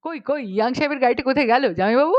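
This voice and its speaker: A woman voicing an excitable, Anglicised uncle in a story, exuberant and mixing in pompous English phrases. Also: sits high, racing, muffled recording. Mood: excited. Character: excitable car-mad Anglicised uncle, adult man.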